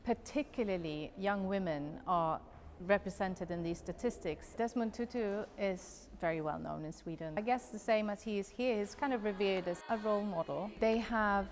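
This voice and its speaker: Thirties woman, documentary interviewee, composed and clear, lapel mic, faint noise. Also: mid-range voice, normal speed, hardly any pauses. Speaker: foundation representative.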